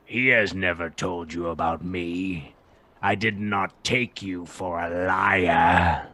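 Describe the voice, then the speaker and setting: A man's voice: deep voice, fairly even intonation, slow pace, sharp tone, heavily acted. A man playing a sinister, barely human young villain in a Gothic radio play, curt, mocking and menacing. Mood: mocking, accusing.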